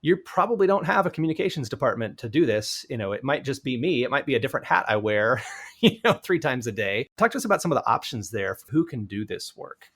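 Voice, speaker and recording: Gravelly-voiced male podcast host. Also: mid-range voice, big pitch swings, fast talker, fairly bright tone. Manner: thoughtful, conversational, asks questions. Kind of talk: podcast interview.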